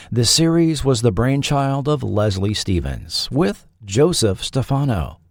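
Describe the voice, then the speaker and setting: A man narrating a scripted history documentary as its host, with deliberate, dramatic phrasing. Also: mid-range voice, no room sound, studio quality.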